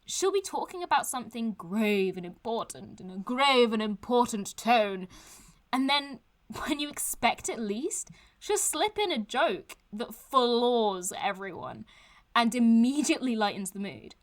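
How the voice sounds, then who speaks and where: A cheeky young woman in an audio drama, with a highish, very lively voice that swings up and down. She is informal, irreverent and teasing, chatting as if to her followers. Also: unhurried. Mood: gossipy, playful. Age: young adult. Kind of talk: audio drama acting, vlog-like casual chat.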